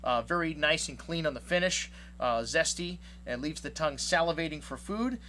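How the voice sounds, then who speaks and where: A man's voice: fairly high pitch, expressive, normal speed, pushed and bright, a little hiss or hum. A man who runs a wine shop, talking casually through his tasting notes and rambling, with many fillers. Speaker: wine shop owner reviewing wines.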